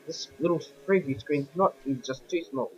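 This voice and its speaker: A man with a croaky voice from a sore throat, giving rambling, self-correcting casual commentary over racing game footage. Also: a little high, normal speed. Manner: rambling, keeps correcting himself. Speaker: gameplay commentator. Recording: dull lo-fi voice-over with game music behind.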